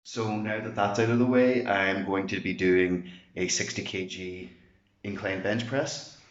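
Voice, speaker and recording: A young man talks to camera between lifts, loose, chatty and easily hyped. His voice sits fairly low and comes through a dull, lo-fi camera mic. The room around him rings with echo. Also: steady tone, measured pace. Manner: boisterous, chatty, swears when hyped. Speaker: vlogger. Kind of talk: casual workout vlog. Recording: echoey, dull lo-fi room sound.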